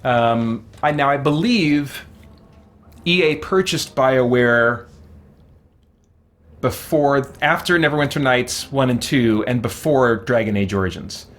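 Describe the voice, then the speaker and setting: A man's voice: medium pitch, slow pace. A man giving casual gameplay commentary as a streamer, rambling and enthusiastic as he thinks aloud. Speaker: game streamer.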